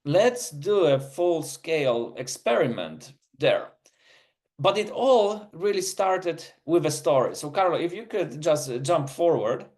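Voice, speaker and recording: A man's voice: on the high side, big pitch swings, a little slow, a little mellow. Non-native English-speaking male presenter, middle-aged; earnest, storytelling delivery, often restarting his sentences. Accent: non-native English accent. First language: not English; English is his third language. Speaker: researcher presenting a webinar. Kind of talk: presentation talk, story-driven.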